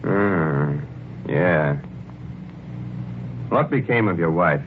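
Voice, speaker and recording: Slow-talking, baffled police lieutenant. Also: male voice, low-pitched, animated, exaggerated voice. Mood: curious, puzzled. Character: plain-spoken, baffled male police lieutenant. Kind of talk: 1940s radio drama acting. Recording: tinny old radio recording, faint noise.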